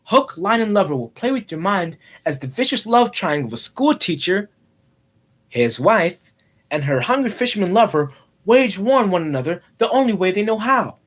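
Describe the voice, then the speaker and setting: Man as movie trailer announcer, theatrical, portentous, raised pitch, lively delivery. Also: moderate pace. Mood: melodramatic. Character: dramatic movie trailer announcer for an overblown love-triangle film.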